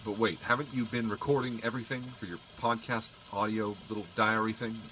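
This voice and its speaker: An actor playing a teasing, casually skeptical police officer in an audio drama, dry and conversational over car engine rumble. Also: male voice, fairly even intonation, a little fast, sharp tone, low-quality recording. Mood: puzzled. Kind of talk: naturalistic audio drama acting.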